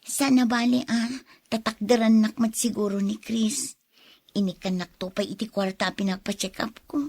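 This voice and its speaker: An actress with a Filipino accent playing a troubled, meek young daughter in an Ilocano radio drama. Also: female voice, mid-range voice, flat delivery, moderate pace, a little mellow, no echo, studio quality, close to an everyday voice. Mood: anxious.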